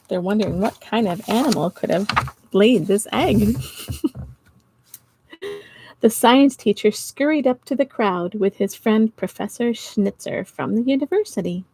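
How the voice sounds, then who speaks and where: A middle-aged or older woman reading a storybook to children, expressive and giggly, pausing to chat with her listeners. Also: medium pitch, husky, no echo. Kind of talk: storytime read-aloud for children. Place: small indoor home office.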